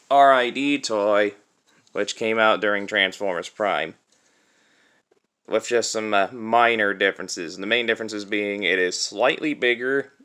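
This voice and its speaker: Bright-voiced man casually reviewing a toy. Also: fairly low pitch, clean tone. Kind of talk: casual unscripted product review. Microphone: cell phone used as camera.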